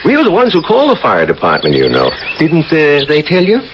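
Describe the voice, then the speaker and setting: An actor playing a nosy, chatty neighbour with a fussy, inquisitive manner in a radio drama. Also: male voice, fairly high pitch, huge pitch swings, fairly quick. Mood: prying, excited. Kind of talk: 1980 radio drama acting. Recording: muffled, noisy 1980 radio recording.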